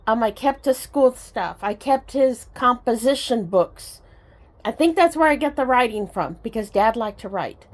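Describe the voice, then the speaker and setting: A middle-aged woman sharing personal memories in a casual, reflective, sentimental and halting way, like a vlogger talking to her viewers. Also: fairly high pitch, lively intonation. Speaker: storytelling vlogger. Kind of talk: personal reminiscing to camera, casual chat.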